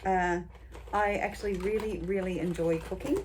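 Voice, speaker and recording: An Australian woman in her forties answering tag questions in a casual, rambling way, with many ums and pauses. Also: medium pitch, fairly even intonation, noisy background. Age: 40s. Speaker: beauty vlogger.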